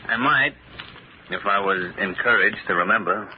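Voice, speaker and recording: An actor playing a sly, bribable bellboy with a folksy, slangy, offhand manner in an old-time radio drama. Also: male voice, lowish voice, moderate pace, lightly acted. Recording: tinny, band-limited, hissy old radio recording.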